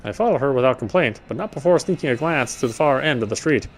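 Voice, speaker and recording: A man's voice: mid-range voice, expressive, quick pace. A man reading a horror story aloud in dramatic narration, sometimes stumbling and rereading phrases. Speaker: gameplay streamer reading a visual novel aloud. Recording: music behind the voice. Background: game music.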